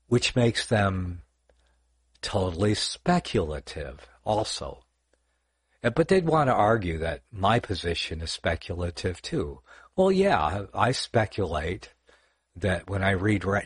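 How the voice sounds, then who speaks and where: Low husky older man teaching. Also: no echo, studio quality. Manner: rambling, self-correcting, lots of fillers. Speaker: online Bible teacher. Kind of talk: casual livestream teaching.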